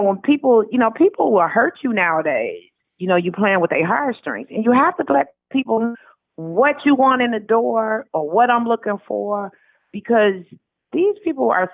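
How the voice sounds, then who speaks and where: A woman's voice: mid-range voice, animated, normal speed, no room sound. A woman talking casually and candidly as an interview guest over the phone, blunt and colloquial in the way she puts things. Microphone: telephone call. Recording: narrow tinny phone line.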